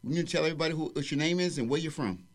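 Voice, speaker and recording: A man's voice: on the high side, very fast. A man with a Southern US accent hosting a local radio music show, sounding upbeat and casual. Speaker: radio host.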